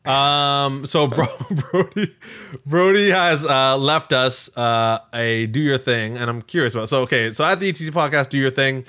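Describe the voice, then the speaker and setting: A man's voice: medium pitch, normal speed, smooth. A man co-hosting a podcast in casual chat about tech and entertainment, rambling and often correcting himself. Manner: animated, rambling, self-correcting. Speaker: podcast co-host.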